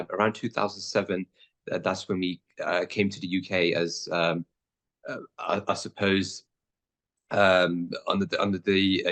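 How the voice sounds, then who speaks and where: Low-pitched male lawyer in his thirties, halting and earnest, lots of ums. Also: fairly bright tone. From born in Pakistan, raised in the Netherlands. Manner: hesitant, many fillers and restarts. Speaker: lawyer guest. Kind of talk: interview answers.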